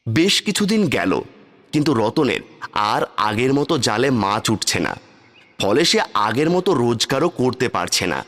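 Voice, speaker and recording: A man's voice: medium pitch, sing-song, normal speed, fairly bright tone. A man narrating a scripted cartoon folk story.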